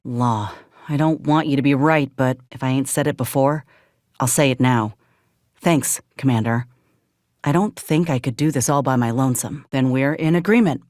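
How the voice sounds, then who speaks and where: An actress playing a grim, resolute outcast soldier in a video game, with a folksy drawl and a measured, wry delivery. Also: female voice, deep voice, dry recording, studio quality, natural voice. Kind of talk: video game character dialogue.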